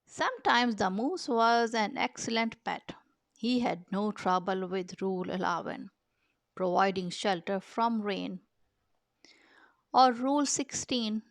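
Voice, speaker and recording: A woman reading a children's picture book aloud with measured, expressive storytelling delivery. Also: mid-range voice, gravelly, no echo. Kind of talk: storybook read-aloud narration.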